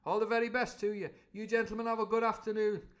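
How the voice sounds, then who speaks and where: A man's voice: high voice, little pitch movement, fast talker, a bit bright, voice pushed up. A man voicing a cheery, fawning one-legged sea cook who talks in a chummy, ingratiating way.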